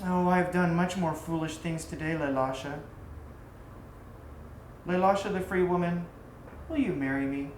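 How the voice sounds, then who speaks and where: A woman's voice: on the low side, moderate pace, long pauses, a little mellow, lightly acted. Storybook role: despairing male navigator, grandiloquent and rueful, read by a woman; roomy, echoing recording. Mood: wry, gloomy. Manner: melodramatic, lamenting. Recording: echoey room, faint background noise.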